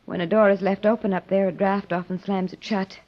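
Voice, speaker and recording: A woman's voice: mid-range voice, steady tone, fairly quick, natural voice. A woman playing a polite, anxious lady of the house in an old radio drama, with a genteel, hesitant manner. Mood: polite, uneasy. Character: polite, uneasy wealthy married lady of the house. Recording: muffled, noisy old radio recording.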